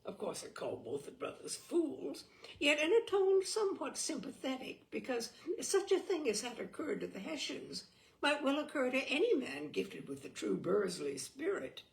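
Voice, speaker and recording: A woman reading a literary short story aloud in an expressive, measured narrating style. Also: sits high, vocal fry. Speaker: story reader.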